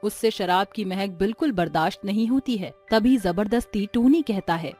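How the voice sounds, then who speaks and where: Female narrator, scripted storytelling, medium pitch, faint background music. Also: normal speed, warm tone.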